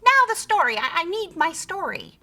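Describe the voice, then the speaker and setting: Storybook creature, small and female, bossy and cackling, played by a woman; high, sharp, swooping sing-song. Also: sing-song, normal speed, heavily acted. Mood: demanding.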